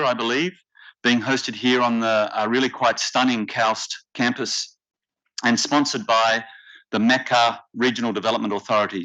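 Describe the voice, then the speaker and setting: A man with an Australian accent emceeing a conference from the stage, speaking in a measured, deliberate way with occasional fillers. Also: mid-range voice, bright, forceful tone. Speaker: workshop facilitator and emcee. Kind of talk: formal conference welcome speech. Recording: slightly dull lo-fi conference audio.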